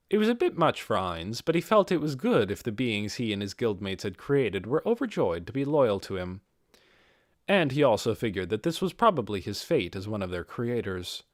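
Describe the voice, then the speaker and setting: A man narrates an audiobook with plenty of pitch movement. His voice is mid-range and recorded very dry, in a scripted storytelling style. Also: no echo. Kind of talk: expressive scripted fiction narration.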